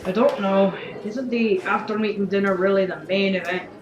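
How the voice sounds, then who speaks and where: A woman acting as a brash, scheming space officer in an audio drama. She talks in a flippant, slangy way at a medium female pitch. The sound is roomy and a bit dull, with music underneath. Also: steady tone, moderate pace, crowd noise, natural voice. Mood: breezy.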